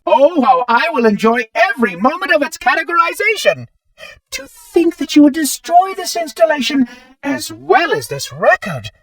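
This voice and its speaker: Prim, high, fussy robot caretaker. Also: male voice, fairly bright tone, no echo, distant mic, full character voice. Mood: giddy, then menacing. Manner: polite, formal, clipped and pedantic. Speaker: game character. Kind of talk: video game voice lines.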